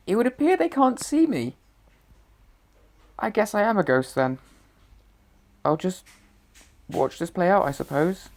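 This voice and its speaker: The ghost of a murdered male writer, voiced by a woman, drops sarcastic asides with a lively, swooping delivery. Amateur audio drama acting. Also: medium pitch, exaggerated voice. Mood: wry.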